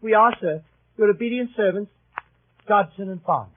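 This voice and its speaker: A man's voice: sits high, normal speed, slight background noise, close to an everyday voice. An actor playing a grave man reading out a legal letter precisely, in a muffled vintage radio drama. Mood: formal, grave. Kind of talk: 1930s radio acting. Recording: muffled lo-fi old radio recording.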